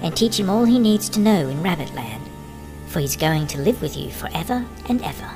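A man voicing a kind, gentle female fairy in a soft falsetto, reading a storybook. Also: expressive, brisk, over music. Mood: tender.

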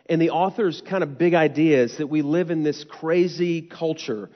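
Nasal-voiced young pastor in a reverberant hall. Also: male voice, on the high side, normal speed. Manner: earnest, conversational preaching. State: nasal from a cold. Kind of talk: sermon. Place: church hall. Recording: dull sound with long hall reverb.

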